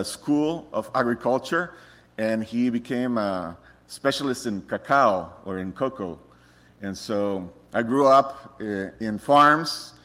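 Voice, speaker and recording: A middle-aged man from Rica, a missionary who tells his story to a congregation in a relaxed, joking way with many restarts. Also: medium pitch. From Costa Rica. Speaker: missionary speaker. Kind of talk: personal testimony to a congregation.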